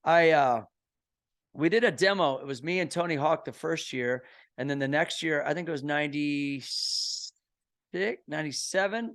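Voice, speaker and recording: A middle-aged man from Kansas City hosting a podcast in a casual, excitable way, full of slang. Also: mid-range voice. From Kansas City, USA. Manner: excitable, slangy, says "bro" and "dude" a lot. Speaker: podcast host. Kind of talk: casual interview chat.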